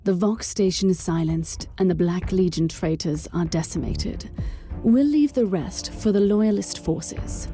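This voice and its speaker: A woman's voice: on the low side, measured pace, dark tone, soft background music, natural voice. Woman doing a stern cold inquisitor lady, bossy, game cutscene. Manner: cold, commanding. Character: stern, zealous female warrior inquisitor.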